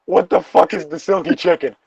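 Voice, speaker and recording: A man's voice: high-pitched, fairly even intonation, a little fast, vocal fry, a little mellow, husky, no echo, muffled recording. A man co-hosting a comic read-aloud, bantering casually and swearing with mock indignation. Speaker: co-host reading video game creepypastas aloud.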